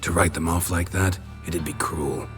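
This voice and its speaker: Casting: male actor for world-weary game monster hunter, gravelly, very deep, deadpan and stern. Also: moderate pace, close to an everyday voice. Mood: grave, firm. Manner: terse, deadpan. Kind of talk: video game voice acting. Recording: loud music behind the voice.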